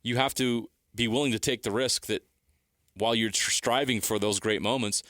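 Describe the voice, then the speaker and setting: A man's voice: mid-range voice, steady tone, moderate pace, a bit bright, no echo, clean studio sound. A middle-aged man co-hosting sports radio, making emphatic points by repeating and stacking phrases. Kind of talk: opinionated sports talk.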